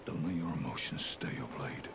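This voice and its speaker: An actor playing a stoic, weathered warrior hunter in a fantasy game, speaking in a solemn, ominous, measured way. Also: male voice, sits low, big pitch swings, gravelly, background noise, full character voice. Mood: solemn warning. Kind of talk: scripted fantasy game acting. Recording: background music under the voice.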